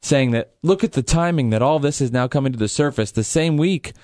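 A man's voice: medium pitch, a little fast, a little mellow, no room sound. A man hosting a sports radio show from a studio, teasing his co-host in playful casual banter. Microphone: studio microphone at a mixing board.

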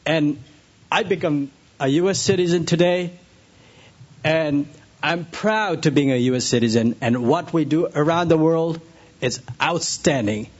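Husky man, slightly high. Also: measured pace, highs cut off. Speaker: new citizen. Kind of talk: short public testimonial.

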